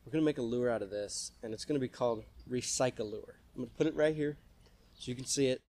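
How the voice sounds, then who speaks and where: A man's voice: mid-range voice, fast, darkish tone. A young man talking loosely to the camera outdoors about his do-it-yourself project, with fillers and restarts. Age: young adult. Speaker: fishing vlogger. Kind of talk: casual DIY vlog talk. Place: outdoors by a wall in a yard.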